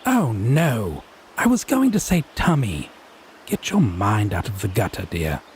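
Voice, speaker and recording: A man's voice: fairly low pitch, very animated, a little slow, a little hiss or hum. A man playing a smug, tipsy male fae who teases his lover with mocking, sardonic condescension in a theatrical audio roleplay. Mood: teasing, amused. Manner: drawling, mocking, sardonic, pet names. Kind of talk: slow theatrical audio roleplay monologue addressed to listener.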